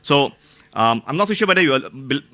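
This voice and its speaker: A man's voice: mid-range voice, animated, brisk, pushed and bright. A man with a Singaporean accent giving an informal, joking technical talk to a live audience, often putting questions to them. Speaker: conference speaker.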